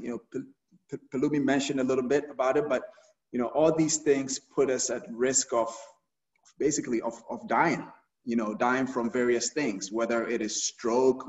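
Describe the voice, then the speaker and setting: A middle-aged doctor from Ghana talks through a health presentation on a video call, stopping often to restart his phrases. His voice sits at an ordinary pitch but comes from a little way off the mic, in a roomy space, and the sound is dull and muffled. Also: distant mic. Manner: hesitant, many fillers and repeated starts. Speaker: urologist giving a health talk.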